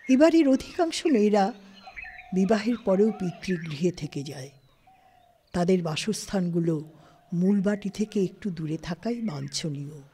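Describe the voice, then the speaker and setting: A woman narrating a literary story in an expressive, scripted style. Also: medium pitch, breathy edge. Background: music.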